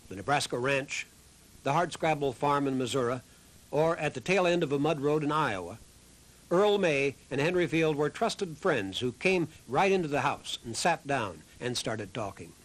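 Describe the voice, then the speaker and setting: A man's voice: on the high side, lively intonation, normal speed, rough recording. A man reading scripted documentary narration as the narrator of a history program.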